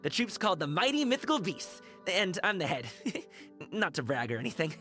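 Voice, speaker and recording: An actor voicing a friendly, eager young courier guard and troupe leader in a video game, with a casual manner. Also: male voice, high-pitched, very animated, moderate pace, sharp tone, faint music behind, heavily acted. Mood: upbeat, friendly. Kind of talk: video game character dialogue.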